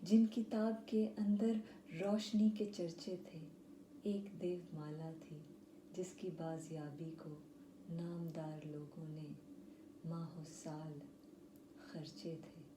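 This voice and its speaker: A woman reciting poetry in a dramatic style, with measured, deliberate phrasing and pauses. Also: low voice, steady tone. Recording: roomy echo, faint background noise.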